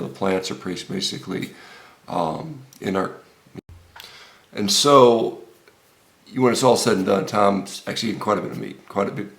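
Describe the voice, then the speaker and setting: American male vlogger, fifties, low-pitched with heavy fry, halting unscripted commentary. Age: 50s. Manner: halting, with frequent hesitations and repeated words. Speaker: health vlogger. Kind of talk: informal unscripted video commentary. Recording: roomy, echoey room sound.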